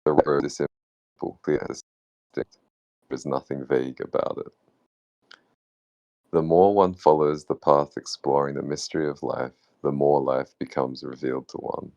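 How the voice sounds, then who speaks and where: A man reads a spiritual text aloud in an extremely deep voice. It is heavy with vocal fry and recorded very dry. His phrasing is measured and deliberate. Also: fairly even intonation, darkish tone, no echo, dull audio. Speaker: participant reading in a book club.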